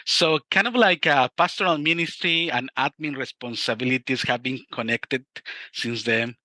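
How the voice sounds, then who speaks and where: Earnest Mexican-accented man, bright voice. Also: medium pitch, moderate pace, no room sound. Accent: Mexican Spanish. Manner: earnest, reflective, with many restarts. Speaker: youth ministry director. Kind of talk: interview, personal testimony.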